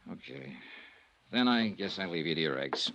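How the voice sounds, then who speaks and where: An actor playing a shrewd, businesslike insurance investigator, American, in old-time radio detective style with clipped, matter-of-fact delivery. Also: male voice, low-pitched, expressive, normal speed, noisy background. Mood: polite, persistent. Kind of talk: 1940s-50s radio detective acting and narration. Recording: vintage radio recording with music.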